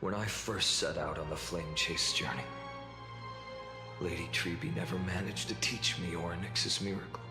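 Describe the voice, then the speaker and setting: A man voicing a solemn, world-weary hero in a video game, brooding and deliberate. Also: low-pitched, steady tone, close to an everyday voice. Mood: grave, calm. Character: weary, solemn male hero who remembers past lives. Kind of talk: dramatic video game voice acting. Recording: echoey hall reverb with music.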